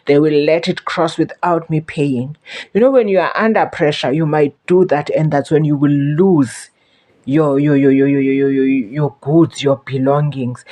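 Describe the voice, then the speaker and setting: A woman's voice: low-pitched, mellow. A South African woman talks to her viewers about her travels. She is chatty and stumbles over repeated words. She keeps stopping to warn them. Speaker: vlogger. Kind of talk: casual storytelling to camera.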